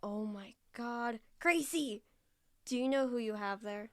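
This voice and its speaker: A young true-crime obsessive in an audio drama. She is nosy and wry, pitched a little high, leaning on key words with theatrical relish. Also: unhurried, fairly bright tone, dry recording, studio quality. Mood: excited, ominous. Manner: wry, insistent, theatrical emphasis. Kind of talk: live audio drama acting.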